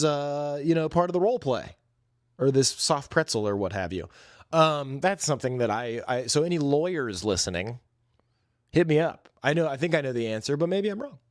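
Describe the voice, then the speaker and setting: A man's voice: mid-range voice, no room sound, clean studio sound. A man hosting a solo podcast, talking in a casual, rambling, slangy and conversational way. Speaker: podcast host.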